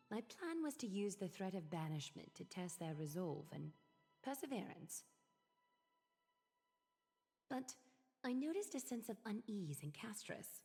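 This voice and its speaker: An actress playing an aloof, calculating noblewoman leader in a video game, speaking formally and eloquently with measured composure. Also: mid-range voice, mellow. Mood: cool, matter-of-fact. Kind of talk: scripted video game voice acting. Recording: long reverb, music behind.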